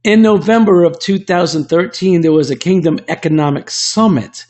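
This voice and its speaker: Guy w/ a bit of a rough voice doing a salesy webinar pitch into his computer mic, keeps restarting words. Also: normal speed, darkish tone. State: slightly rough voice from illness. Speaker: presenter. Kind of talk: online webinar presentation. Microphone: computer microphone.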